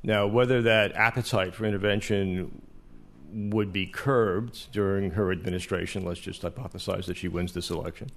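A man with a low voice speaks as an academic on a live panel. His delivery is measured and analytical, working through long, qualified sentences off the cuff. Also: steady tone, slight background noise. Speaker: panelist, international relations professor. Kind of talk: extemporaneous political analysis on a panel. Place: live event space before an audience.

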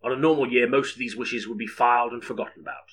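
A man's voice: mid-range voice, steady tone, fast talker, fairly bright tone, a little hiss or hum. A man narrating an audiobook story in a dramatic, scripted storytelling style.

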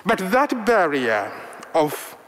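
A man from Uganda whose first language is Luganda, preaching a sermon in slow, measured short phrases. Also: fairly high pitch, a bit bright. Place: church. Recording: long reverb of a large hall.

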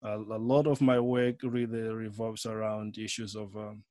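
A middle-aged man with a Malawian accent, giving a personal account as an interview guest in a thoughtful, halting way. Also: on the low side, little pitch movement, darkish tone, dry recording, studio quality. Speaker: interview guest, theology lecturer.

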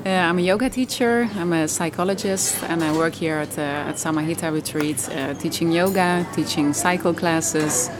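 A woman's voice: on the low side, moderate pace, hardly any pauses, over music. A woman from the Netherlands with a Dutch accent, answering casually as an interview guest. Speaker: interview guest, yoga teacher. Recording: crowd chatter behind.

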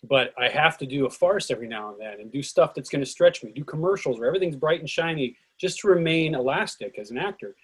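A man speaking as an actor and host in a casual discussion, halting and digressive. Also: fairly high pitch, animated, husky. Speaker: actor and director hosting a play reading.

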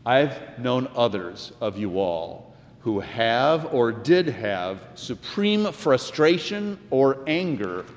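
A man's voice: medium pitch, big pitch swings, takes it slowly. Older american guy preaching, chatty reflective pastor telling stories, big echoey church. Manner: conversational, reflective. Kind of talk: sermon with personal anecdotes. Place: large indoor church. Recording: echoey hall with long reverb.

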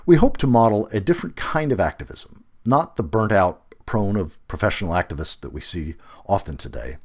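A man reading a reflective essay aloud as scripted narration, with measured phrasing and deliberate emphasis. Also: on the low side, expressive.

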